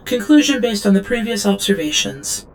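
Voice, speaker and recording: A woman's voice: mid-range voice, very animated, normal speed, a little hiss or hum, lightly acted. A woman playing an obedient female servant drone in an audio drama, reporting in a stilted, clinical third-person manner. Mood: clinical, detached. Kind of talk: scripted audio drama monologue.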